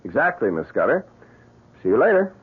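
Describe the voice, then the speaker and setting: A man's voice: medium pitch, big pitch swings, brisk, slightly rough. An American actor playing a scheming, evasive man in an old-time radio drama. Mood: sly, confident. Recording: tinny, band-limited old radio broadcast.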